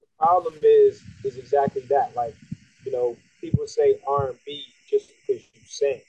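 A man's voice: mid-range voice, normal speed, gravelly, slight background noise. A young man from the Bahamas, a singer and songwriter, chatting casually as an interview guest, laid-back and slangy. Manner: laid-back, slangy, animated when making a point. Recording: tinny phone-like line, dry.

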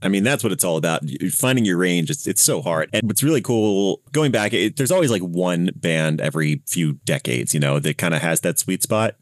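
A man with a deep voice hosting a casual interview. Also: animated, a little fast, few pauses, no room sound, clean studio sound. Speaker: interview host.

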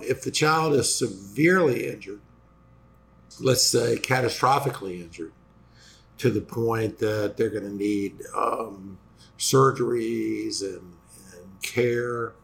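A man with a raspy voice, a lawyer hosting a casual explanatory talk, halting with fillers and restarts as he loses his voice. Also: lowish voice, a bit bright. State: losing voice after vocal cord injections. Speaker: personal injury lawyer and host.

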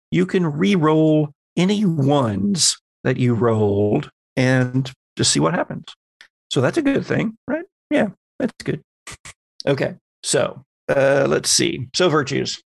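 Guy running a tabletop game, going thru rules step by step, unhurried, very dry sound. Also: medium pitch, creaky, no room sound. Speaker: game master.

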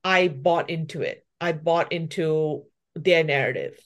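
A woman's voice: sits low, measured pace. A woman from Malaysia, a pastry chef and shop owner, talking reflectively about her story in a casual interview and laughing often.

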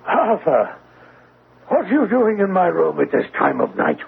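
A man's voice: high-pitched, wildly swooping pitch, unhurried, gravelly, husky, narrow, tinny audio, full character voice. An actor playing a stern, outraged Victorian father accusing his son in an old radio drama. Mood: shocked, accusing. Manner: accusing, declamatory. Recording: thin, noisy old radio broadcast.

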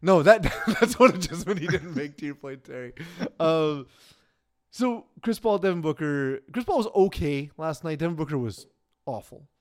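A man co-hosting a casual sports talk show, rambling and laughing as he goes, often trailing off quietly. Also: on the high side, expressive, moderate pace. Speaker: podcast co-host.

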